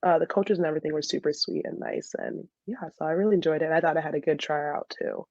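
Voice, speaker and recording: A woman's voice: sits low, fairly even intonation, fast, a little mellow, dry recording. A woman, chatting casually and informally as an interview guest on a dull recording. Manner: chatty, frequent laughter and filler "like". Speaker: podcast guest, soccer player and dental student.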